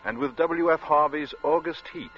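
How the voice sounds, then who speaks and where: A man's voice: a little high, steady tone, normal speed. A man announcing in a dramatic, scripted 1940s radio narration style. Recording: muffled 1940s radio recording.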